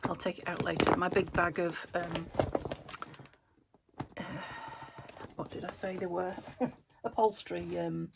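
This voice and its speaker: Middle-aged woman with a cold, low gravelly voice, chatty crafting vlog on her phone, bit of hiss. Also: flat delivery, measured pace, pauses often. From England. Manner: chatty, thinks aloud with tag questions. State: croaky from a summer cold. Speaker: craft vlogger. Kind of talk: casual crafting chat. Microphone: phone camera.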